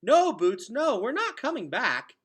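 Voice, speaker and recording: A man's voice: extremely high pitch, huge pitch swings, a little slow, a bit bright, breathy edge, pitched above the performer's own voice, heavily acted. A man voicing a young boy hero in a storybook, blurting out anxious, insistent questions in a casual way. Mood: panicked.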